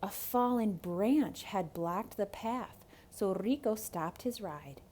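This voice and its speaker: A woman reads a children's story in a theatrical, expressive way. Her delivery is unhurried, her pitch sits mid-range and it rises and falls with great animation. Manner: dramatic, expressive. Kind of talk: theatrical children's read-aloud narration.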